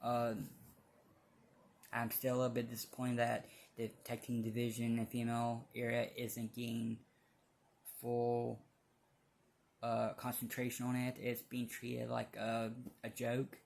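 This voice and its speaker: A man giving casual opinion commentary as a fan vlogger, speaking bluntly in a halting, rambling way. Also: mid-range voice, monotone, gravelly, darkish tone.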